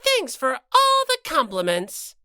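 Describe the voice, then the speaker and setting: A woman's voice: high-pitched, huge pitch swings, takes it slowly, bright, forceful tone, no echo, clean studio sound, pitched above the performer's own voice, heavily acted. A woman voicing a mean, mischievous bear mascot in an audiobook, taunting in a theatrical, mock-sweet way. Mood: smug. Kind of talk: dramatized audiobook character reading.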